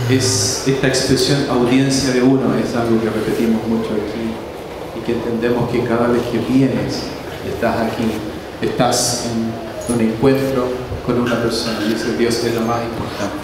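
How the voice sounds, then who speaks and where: A man's voice: medium pitch, little pitch movement, unhurried, background noise. A man exhorts a congregation phrase by phrase, leading prayer as a pastor. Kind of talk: altar call and prayer. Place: stage with band before a congregation, stone wall and plants. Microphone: handheld.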